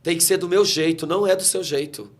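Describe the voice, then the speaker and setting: A man's voice: a little high, quick pace. Fervent man preaching his testimony. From Brazil. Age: 40s. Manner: fervent, preacherly, quotes scripture. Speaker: guest pastor. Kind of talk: emotional testimony in a talk interview.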